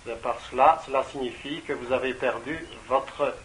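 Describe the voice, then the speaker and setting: A man's voice: medium pitch, fairly even intonation, moderate pace, a bit bright, slight background noise, low-quality recording. A man translating a spiritual talk into French, hesitant and often stopping on fillers. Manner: hesitant, frequent fillers, repeats words. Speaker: interpreter. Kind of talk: consecutive interpretation into French.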